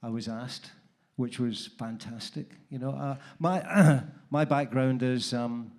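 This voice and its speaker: A man with an Oklahoma accent introduces himself on a panel, halting with many ums. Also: medium pitch, a little mellow. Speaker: drummer on a panel. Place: cruise ship event room.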